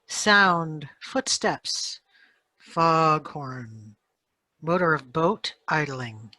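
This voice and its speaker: A woman's voice: deep voice, animated, drawn-out words, bright, forceful tone, no room sound. A woman reading out sound-effect cues for a radio play in short phrases. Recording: online video-call performance.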